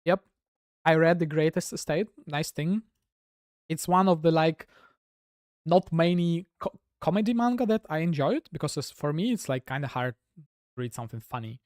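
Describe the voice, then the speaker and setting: A man's voice: on the high side, normal speed, a little mellow, dry recording, studio quality. A man from Russia with a Russian accent, a gaming streamer chatting casually with viewers in a rambling way, full of "like" fillers. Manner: rambling, heavy use of "like" fillers.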